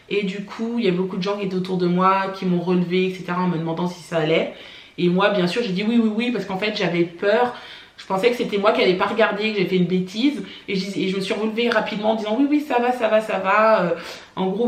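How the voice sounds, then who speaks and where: A woman born in France, telling personal stories to camera for her family vlog in a chatty way, often pausing on "euh" and "voilà". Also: fairly low pitch, roomy sound. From France (born in Paris). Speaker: family vlogger. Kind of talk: casual personal storytelling to camera.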